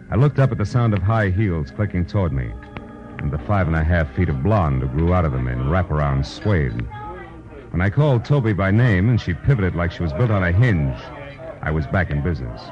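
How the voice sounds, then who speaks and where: A hard-boiled American detective, speaks in a very low voice with wry, sardonic bite. It is old-time radio noir, dull in fidelity, with music clearly playing behind him. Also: male voice, lively intonation, fairly quick. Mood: wry narration. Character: wisecracking hard-boiled private detective, a grown man. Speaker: narrator and lead actor. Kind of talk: 1940s radio noir acting.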